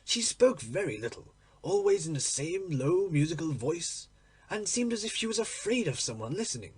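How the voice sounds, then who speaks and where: Man voicing troubled, lovestruck gentleman, animated and husky. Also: a little high, heavily acted. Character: troubled, impatient, lovestruck English gentleman telling his secret.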